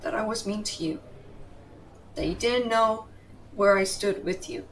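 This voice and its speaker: Woman doing a tsundere girlfriend for audio roleplay, really slow talking, blunt but tender n protective, bit far from the mic. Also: medium pitch, a little hiss or hum, natural voice. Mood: tender, vulnerable. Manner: emotional, pleading, bluntly affectionate. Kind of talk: dramatic roleplay audio, intimate second-person acting.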